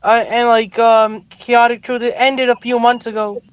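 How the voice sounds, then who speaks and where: A man's voice: extremely high pitch, level tone, moderate pace, clean tone, rough recording. A man talking as a guest on a casual talk show, arguing his points earnestly and at length.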